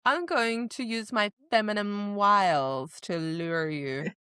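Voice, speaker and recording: A woman's voice: medium pitch, slow, bright, forceful tone, clean tone, no echo, studio quality. A woman co-hosting a podcast, casually recapping a fantasy romance novel with giggles, swearing and acted-out quotes. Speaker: podcast co-host.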